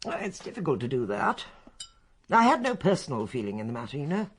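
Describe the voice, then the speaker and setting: An actress playing a shrewd, eccentric old English lady in a radio drama, speaking precisely and deliberately with a husky voice. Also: low voice, sing-song, slight background noise. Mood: coolly philosophical. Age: older. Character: shrewd, eccentric old lady who coolly confesses to poisoning. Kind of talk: British radio drama acting.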